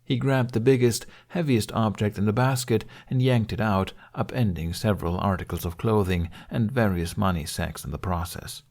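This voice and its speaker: Low-pitched male audiobook narrator. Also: moderate pace, hardly any pauses, a little mellow. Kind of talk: scripted story narration.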